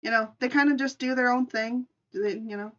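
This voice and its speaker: A woman's voice: fairly high pitch, fairly even intonation, brisk, a bit bright, muffled recording. A woman streamer casually telling an improvised story and chatting with her live audience in an informal, chatty way. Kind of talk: casual livestream storytelling and chat.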